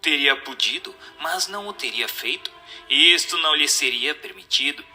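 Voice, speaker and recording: A man giving a formal, deliberate, didactic scripted reading of questions and answers. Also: on the high side, animated, bright, forceful tone, faint music behind. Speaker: narrator.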